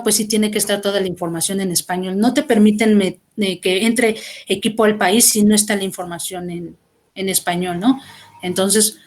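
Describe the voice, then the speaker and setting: A Mexican woman, a guest translator, talking through her experience with thoughtful explanations and small restarts over a video call. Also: mid-range voice. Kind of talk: conversational class interview.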